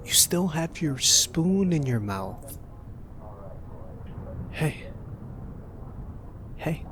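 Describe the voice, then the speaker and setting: A young man in a soft-spoken ASMR roleplay, teasing and gentle as a cuddly roommate. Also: mid-range voice, background noise.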